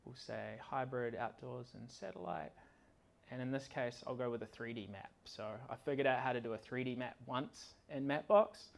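A man gives a live technical demo to a meetup in an indoor venue. His pitch is mid-range for a man, and his delivery is casual, slightly halting as he operates the software while he talks. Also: steady tone, gravelly. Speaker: conference speaker, software consultant. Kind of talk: informal technical demo presentation. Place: indoor meetup venue with large windows and projector screen.

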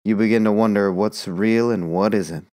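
Read by a woman, a jittery, uneasy man voiced deep and low, earnest and worried. Smooth tone, dry close recording. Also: normal speed, a little mellow, no echo. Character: nervous, uneasy bespectacled man.

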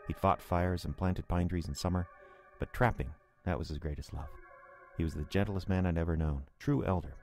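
Horror radio play narrator: reflective man recalling the past, very low voice, music underneath. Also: fairly even intonation, fairly quick, gravelly, darkish tone. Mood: reflective, fond. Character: reflective male storyteller, repairman recalling eerie past. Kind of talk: scripted first-person horror drama narration.

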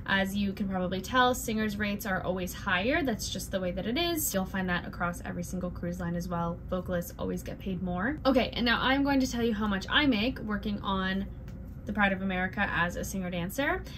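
A woman's voice: mid-range voice, fairly bright tone. Chatty young woman vlogging from a cabin. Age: 20s. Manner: chatty, with fillers and quick asides. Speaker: cruise ship singer and dancer. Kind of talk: casual vlog explainer. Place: small cabin bedroom with string lights. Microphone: camera in front at desk distance. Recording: noisy room with ambient hum.

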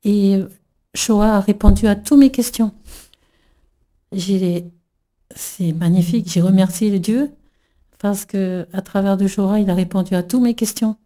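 Middle-aged woman from new caledonia, slow halting testimony, really dark low voice. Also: steady tone. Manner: halting, many fillers and restarts. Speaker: church member giving testimony. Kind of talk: emotional religious testimony.

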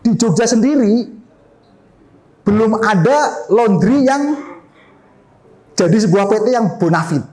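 A middle-aged man giving an energetic business seminar talk into a handheld microphone in a meeting hall, gesturing and questioning his audience. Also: high-pitched, unhurried, pauses often. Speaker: seminar speaker.

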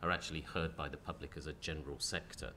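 A man with a cold, chairing a panel discussion in a lecture hall, speaking in a croaky, hesitant, measured way. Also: a bass voice, fairly even intonation, bright, forceful tone, a little hiss or hum.

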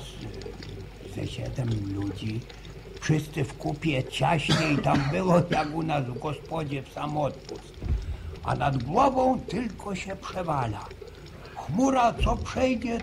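An actor playing an old village grandfather who tells a slow, pious story in halting rustic speech, in a radio drama. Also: fairly high pitch, vocal fry, noisy background, heavily acted. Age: older. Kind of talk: 1960s radio drama acting.

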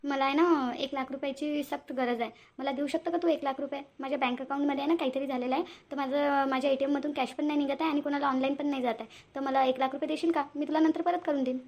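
A woman's voice: sits high, steady tone, fast talker, hardly any pauses, a little mellow, highs cut off. A woman voicing a scheming, wheedling young cartoon character who repeats herself, speaking Marathi with a Varhadi accent from Vidarbha. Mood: sweetly persuasive. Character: scheming young woman sweet-talking for money. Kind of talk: amateur cartoon voice-over.

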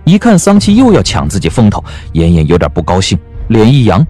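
A man's voice: on the low side, huge pitch swings, brisk, warm tone, husky, faint music behind. A man narrating a period audio drama as a storyteller, reading scripted prose dramatically.

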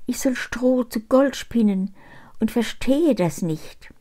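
A woman's voice: fairly high pitch, lively intonation, slow pace, dark tone, voice pushed up. An older woman reading a fairy tale aloud, voicing a young, frightened miller's daughter in a plaintive tone.

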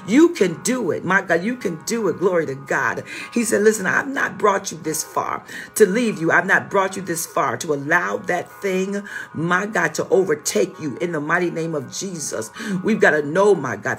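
A woman's voice: fairly low pitch, a bit bright. A woman pastor delivers a morning devotional in a fervent, repetitive preaching style. She stumbles into restarts and peppers every line with "my God" before landing emphatic declarations. Faint music plays behind her. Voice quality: fervent, repetitive exhortation with frequent "my God" interjections.

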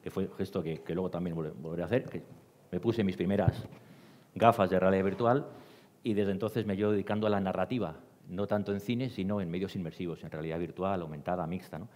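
A man's voice: low voice, fairly even intonation, fast talker, a little mellow. A middle-aged man giving an informal panel presentation. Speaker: presenter, creative director. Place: dark small theatre stage, panel table. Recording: echoey hall reverb.

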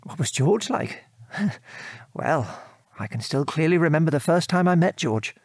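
A man's voice: fairly high pitch, animated, unhurried, darkish tone, no echo. An actor playing a modest, polite bomber airman in a radio drama, earnest and understated. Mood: reflective, humble.

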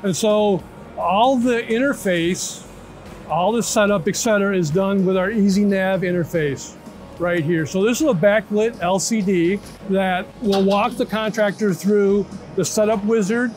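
A man's voice: high voice, unhurried, darkish tone. A man in his fifties presenting a product in an interview, explaining step by step and checking in with the listener. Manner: explanatory, checks in with "right?" and "okay?". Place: large indoor trade show floor. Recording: music bed under trade show talk. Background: music.

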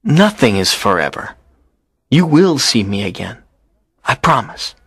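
A man's voice: medium pitch, very animated, measured pace, fairly bright tone, slightly rough, no room sound, studio quality, exaggerated voice. A man playing a lonely, earnest teenage schoolboy in a dramatised story, a pleading dreamer. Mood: reassuring, resolute.

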